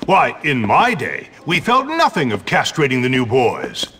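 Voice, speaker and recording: An actor playing a stern, pompous older headmaster in a video game, scolding in an old-fashioned manner. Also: male voice, mid-range voice, wildly swooping pitch, unhurried, a bit bright, slight background noise. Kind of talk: video game cutscene acting.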